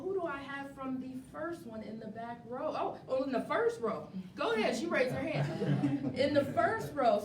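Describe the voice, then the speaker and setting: An enthusiastic woman hosts a live interview event in a fairly high voice. She sounds encouraging and repeats phrases for emphasis. She is picked up from a distance in an echoey venue. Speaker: host of a live community interview show. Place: indoor venue with a live studio audience. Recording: roomy, distant mic with clear rumbling noise.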